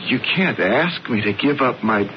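A man's voice: mid-range voice, lively intonation, unhurried, noisy background, close to an everyday voice. An actor playing an anxious, lovesick young sculptor who stammers and pleads with his older brother, heard on a dull, lo-fi radio broadcast. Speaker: narrator and lead character. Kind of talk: 1970s radio drama acting.

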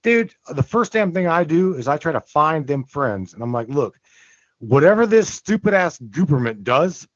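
A man's voice: fairly high pitch, sing-song, normal speed, dry recording. A man hosting a podcast, talking earnestly, stammering and repeating himself. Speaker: podcast host. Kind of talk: casual chat. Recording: muffled lo-fi sound.

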